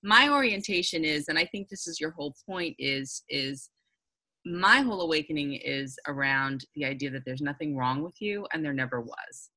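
A woman's voice: sits low, lively intonation, moderate pace, sharp tone, low-quality recording. A woman hosting a reflective conversation, thinking aloud with many fillers. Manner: thoughtful, searching, with many fillers.

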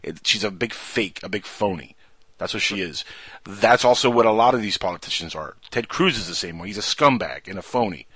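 A man from Cuba with a bright, forceful voice argues his opinions casually. His pitch moves around a lot and his delivery is blunt and emphatic, with some swearing. The sound is dry, with no room echo. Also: animated, a little fast, slightly rough.

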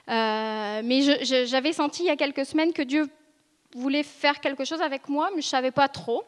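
A woman in her thirties from northern France, giving an earnest, hesitant personal testimony to a church gathering. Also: on the high side. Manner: earnest, hesitant, with frequent pauses and restarts. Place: church ministry school meeting room. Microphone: seated speaker, filmed for video. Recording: reverberant hall.

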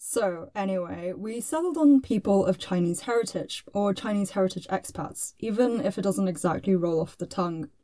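A woman producer who talks in a relaxed, matter-of-fact way. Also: mid-range voice, normal speed, hardly any pauses, no room sound. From London.

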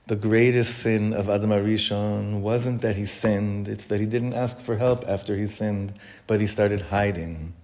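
Earnest rabbi teaching a class. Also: male voice, on the low side, a little mellow. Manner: earnest, self-questioning, repeats phrases for emphasis; reads Hebrew text quickly, then explains it. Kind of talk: informal Torah talk.

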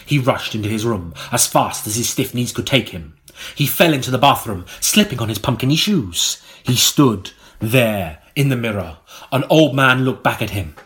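A man narrating an audiobook in a theatrical, melodramatic style, with dramatic pauses. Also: mid-range voice, animated, fairly bright tone.

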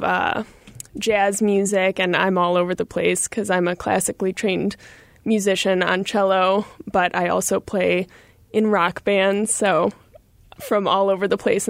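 A young woman in her late teens, a guest musician, answering interview questions in a measured way in a radio studio. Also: mid-range voice, no echo.

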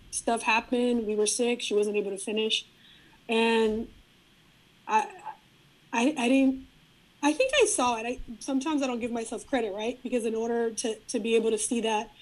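Middle-aged woman, spanish first language, fairly high voice, chatty and thoughtful, lots of restarts. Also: darkish tone, poor audio. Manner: reflective, frequent restarts and fillers. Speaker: guest. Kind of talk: casual interview conversation.

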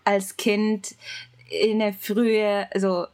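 A young woman with a Japanese accent and Japanese as her first language talks slowly in a relaxed chat. She hesitates, fills pauses with "ähm" and gropes for the right words. She laughs easily as she speaks. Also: medium pitch. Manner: hesitant, searching for words, many fillers; laughs often. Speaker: guest. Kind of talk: casual chat.

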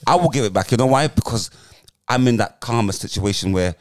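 A man's voice: fairly low pitch, brisk. A man in his forties, co-hosting a podcast and musing earnestly in casual chat. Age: 40s. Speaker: podcast co-host, comedian.

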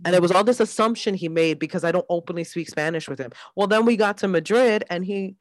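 A woman's voice: lowish voice, fast, no room sound. A woman co-hosting a casual podcast chat, agreeable and sometimes searching for the right word. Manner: agreeable, searching for words as she talks. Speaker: podcast co-host.

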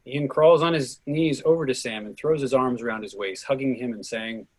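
Casting: male stage directions reader, husky medium-pitched voice, scripted narration for a play. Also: moderate pace, low-quality recording.

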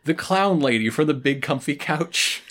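Podcast co-host, mid pitch, very animated intonation, casual comedic chat, wry and playful. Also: moderate pace.

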